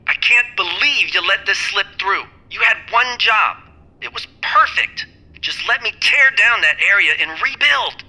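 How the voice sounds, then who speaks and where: A man's voice: sits high, expressive, a little slow, bright, forceful tone, full character voice, rough recording. A man playing an arrogant, scornful developer, domineering and angry through a phone filter in an audio drama. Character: furious, arrogant male developer bullying over the phone.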